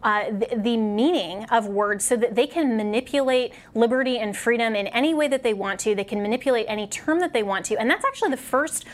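A woman hosting a political talk show, setting up each segment in an earnest, measured tone. Her voice sits a little higher than most women's.

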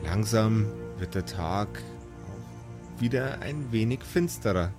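A man's voice: fairly low pitch, expressive, slow pace. Male game master narrating, music behind. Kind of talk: improvised storytelling narration.

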